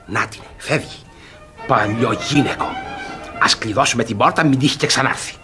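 An actor playing a suspicious, gloating middle-aged guardian in a classic radio theatre comedy, blustering and fussy. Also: male voice, mid-range voice, music in the background, close to an everyday voice.

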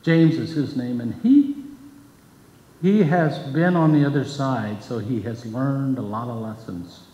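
An older man giving a sermon at a pulpit, earnest and measured, reading scripture between his points. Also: medium pitch, dark tone, lo-fi sound. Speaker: pastor. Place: church sanctuary, at a pulpit. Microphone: pulpit microphone, far camera. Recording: echoey church hall from far off.

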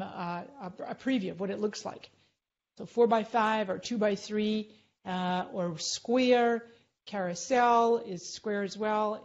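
An upbeat middle-aged woman talks viewers through her screen in a live session, chatty and quick to point things out. Her voice sits at a middling pitch. The stream audio is a little dull. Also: a little mellow. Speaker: social media marketing trainer. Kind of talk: live screen-share tutorial.

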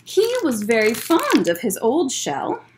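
A woman's voice: fairly high pitch, wildly swooping pitch, measured pace, slight background noise, poor audio. A young adult woman hosting a children's story time, explaining things simply as she chats and reads aloud. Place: library room with bookshelves, indoors. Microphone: webcam or laptop at desk distance.

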